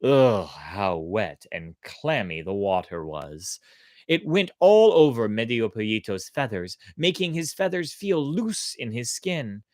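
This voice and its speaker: A storyteller giving expressive, theatrical and deliberate narration of a folktale. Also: mid-range voice, dry recording, clean studio sound. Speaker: storyteller, host.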